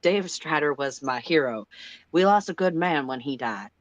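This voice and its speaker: A reader voicing a middle-aged male former president, halting and stately, in a story read aloud. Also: mid-range voice, animated, no room sound, highs cut off, close to an everyday voice. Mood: measured, solemn.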